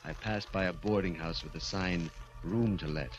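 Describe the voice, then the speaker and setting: An actor playing a desperate former dwarf turned killer in an intense 1940s radio thriller. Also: male voice, deep voice, moderate pace, lo-fi sound, over music, lightly acted. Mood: haunted narration. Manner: intense, dramatic. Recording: old 1940s radio recording, faint noise.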